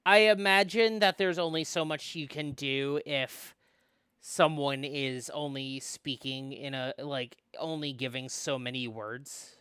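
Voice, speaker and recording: A co-host of a book club who chats casually and reads a visual novel aloud, animated and prone to rambling asides. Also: medium pitch, unhurried, clean tone, no room sound, clean studio sound. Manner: animated, rambling, self-interrupting. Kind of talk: casual chat mixed with dramatic read-aloud.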